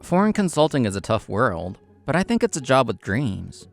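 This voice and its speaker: A man's voice: mid-range voice, very animated, normal speed, vocal fry, faint music behind. A man playing a proud, competitive young consultant in a manga drama, intense and curt, narrating and speaking his lines. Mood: determined. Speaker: narrator and main character.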